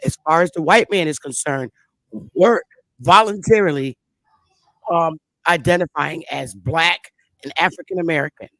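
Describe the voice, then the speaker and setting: A woman's voice: low voice, moderate pace, fairly bright tone, dry recording, low-quality recording. A woman hosting a casual online group discussion, who talks emphatically and repeats her points for stress. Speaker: host.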